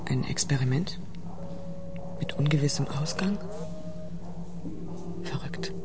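A woman's voice: deep voice, takes it slowly, over music. An actress in a radio play voicing a blunt, dry-witted female medical doctor. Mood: baffled. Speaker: radio drama actor.

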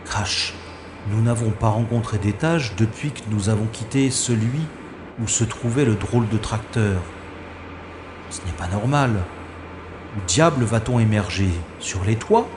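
An actor playing a wry special agent who narrates in first person with dry, understated quips. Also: male voice, on the low side, measured pace, background noise, lightly acted. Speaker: hero and narrator. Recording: music score playing underneath.

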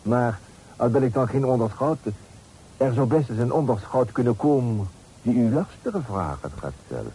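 An actor playing a nosy landlord and informer, slyly polite and insinuating, in a period radio drama. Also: male voice, mid-range voice, lively intonation, moderate pace, dark tone, slightly rough. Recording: noisy old radio play recording.

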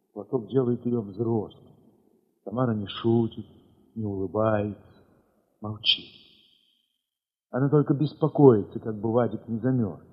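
Radio play: war-weary man remembering besieged children, tender and emotive, husky, very slow, swelling and hushing. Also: medium pitch, in a large hall, phone-quality sound. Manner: measured, emotive, with dramatic swells and hushed drops. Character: war-weary male dance ensemble leader recalling besieged children, tender. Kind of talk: dramatic literary monologue in an audio play.

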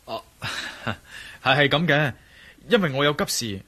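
An actor playing a bold, wary adventurer hero in a radio drama, speaking Cantonese with a Hong Kong accent in a wry, commanding manner. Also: male voice, a little high, animated, unhurried, bright, forceful tone, exaggerated voice. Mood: sheepish, explaining. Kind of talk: radio drama acting with spoken inner monologue.

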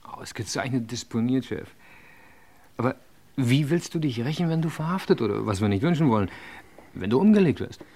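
A man's voice: medium pitch, lively intonation, brisk, dark tone. An actor playing a nervy, scheming accomplice of a gangster in an old German radio crime drama, theatrical in his musing. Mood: deferential, indignant. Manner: theatrical, chuckling, musing aloud. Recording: noisy vintage radio play recording.

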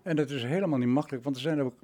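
Very old man with a husky voice. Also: mid-range voice, warm tone. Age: nineties, almost a hundred. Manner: reflective, halting, emphatic agreement. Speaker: interview guest, retired radio drama director. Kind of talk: reminiscing interview conversation.

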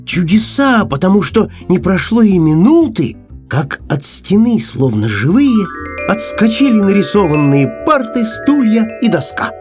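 A man tells a children's story with hugely swooping intonation. Guitar music plays clearly behind his narration. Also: high voice, sing-song, measured pace. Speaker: audiobook narrator.

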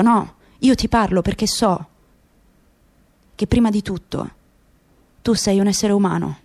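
A woman voicing a grave, proud, disillusioned Palestinian father in a radio play, with solemn, deliberate, impassioned speech. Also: mid-range voice, natural voice. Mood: solemn conviction.

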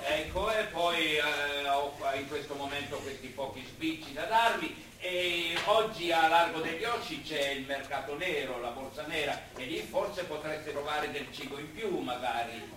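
An actor playing a formal, educated suitor with pompous, flowery speech, in a theatrical stage style. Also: male voice, fairly high pitch, moderate pace, few pauses, pushed and bright. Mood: hesitant, gentle. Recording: distant, very echoey stage recording, faint noise.

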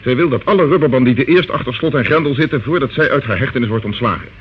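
A man's voice: medium pitch, quick pace, close to an everyday voice. Male actor, police inspector, radio drama, firm, probing, businesslike, narrow-band old recording, faint noise. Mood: firm, assured.

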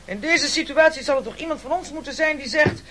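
A man playing a domineering, formal, coolly calculating castaway gentleman in a radio play, declaiming pompously. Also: very high voice, fairly quick. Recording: noisy old radio drama recording.

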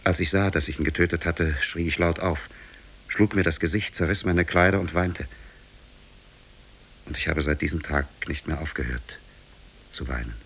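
An actor playing a sorrowful man who recounts his own tragic deed in solemn, measured 1950s radio drama style. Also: low-pitched, creaky, fairly bright tone, slightly rough, exaggerated voice. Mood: sorrowful, grave. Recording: 1950s radio recording from cassette.

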